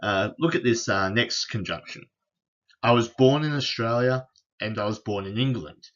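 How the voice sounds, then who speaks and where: A man lecturing on philosophy over slides, unhurried, with frequent fillers and restarts. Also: medium pitch, highs cut off. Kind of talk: explaining logic over slides.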